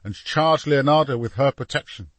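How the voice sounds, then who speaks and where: A man's voice: mid-range voice, moderate pace, fairly bright tone, no room sound, pitched above the performer's own voice, natural voice. A man voicing a greedy, imperious Renaissance duke who snaps out orders in an audiobook story. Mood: commanding.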